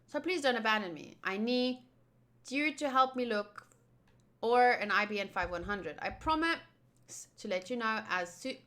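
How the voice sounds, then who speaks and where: A woman's voice: a little high, expressive, normal speed, pushed and bright. A woman livestreaming a visual novel playthrough, reading game text aloud and adding playful, chatty asides. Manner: playful, chatty, self-interrupting. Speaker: streamer playing a visual novel.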